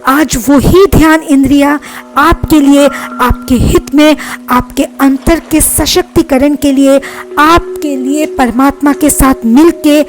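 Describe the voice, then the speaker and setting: Lady with a high voice doing guided meditation, soothing careful instructions, music playing behind. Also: fairly even intonation, slow pace. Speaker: life coach and meditation guide.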